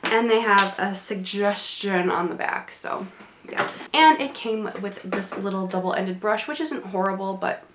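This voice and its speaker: A woman's voice: mid-range voice, a little slow, noisy background. Young woman rambling about makeup, stuffy from a cold, echoey little room. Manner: chatty, rambling, many fillers and restarts. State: congested from a cold. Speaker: beauty vlogger. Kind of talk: casual product review. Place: small home room, indoors.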